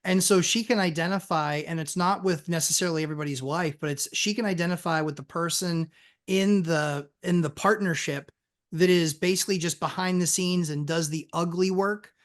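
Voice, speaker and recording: A married man and real estate investor, talking earnestly as a podcast guest and telling his story in quick bursts. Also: fairly high pitch, steady tone. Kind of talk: casual podcast chat.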